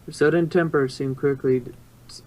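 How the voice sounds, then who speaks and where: A man's voice: on the high side, fairly even intonation, moderate pace, a little mellow. A man narrating a story in an amateur reading, stumbling now and then and rereading phrases.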